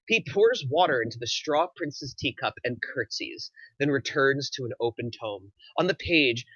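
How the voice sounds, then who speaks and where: Man, scripted narration, table read, sharp bright tone, medium pitch, dry slightly lo-fi sound. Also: no echo. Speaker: narrator reading stage directions. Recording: slightly dull, dry recording.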